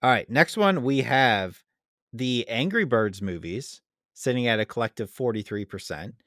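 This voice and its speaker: A man's voice: mid-range voice, lively intonation, normal speed, a bit bright, no echo, studio quality. A man hosting a casual podcast debate in an easygoing, agreeable, chatty way. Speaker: podcast host. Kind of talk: casual chat, moderating a debate.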